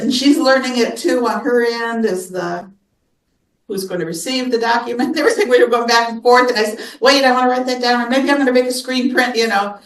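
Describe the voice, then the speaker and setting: A woman at a computer club meeting, chatting casually and telling a rambling story. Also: a little high. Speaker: club member presenting. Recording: echoey room, far from the mic.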